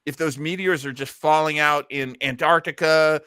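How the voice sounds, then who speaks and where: A man hosting a casual online discussion, musing in a halting way as he works out his thoughts. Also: a little high, fairly even intonation, sharp tone, smooth, no echo, studio quality. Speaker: host of online listening party.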